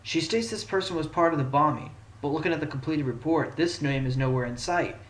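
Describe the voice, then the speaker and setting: A man's voice: fairly high pitch, fairly quick, roomy sound, natural voice. A man playing a determined, sly fox defense attorney in a fan audio drama, speaking emphatically and theatrically. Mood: confident. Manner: theatrical, emphatic, bursts into objections.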